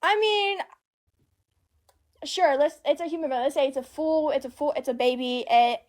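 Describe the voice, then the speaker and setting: A woman's voice: sits high, moderate pace. An eighteen-year-old woman with a babyish voice, arguing hesitantly as a caller and leaning on filler words.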